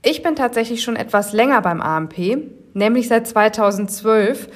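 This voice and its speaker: A woman in her forties from Germany, hosting a podcast and telling her career story in a clear, measured way. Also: fairly high pitch. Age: 40s. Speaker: podcast host. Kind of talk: scripted podcast narration.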